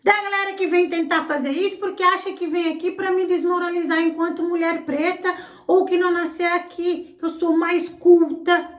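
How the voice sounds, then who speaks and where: Non-native woman host with a very high voice, indignant and sarcastic, recorded in an echoey room. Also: fairly even intonation, moderate pace. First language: not Portuguese. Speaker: channel host, political commentator. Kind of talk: opinionated political commentary. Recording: roomy echo.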